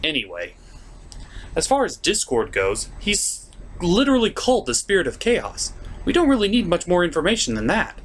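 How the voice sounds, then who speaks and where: A man's voice: high-pitched, wildly swooping pitch, normal speed, fairly bright tone, slight background noise. A man hosting a comedic review, narrating from a script with sarcastic, mock-exasperated asides. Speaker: host of a comedic cartoon review show.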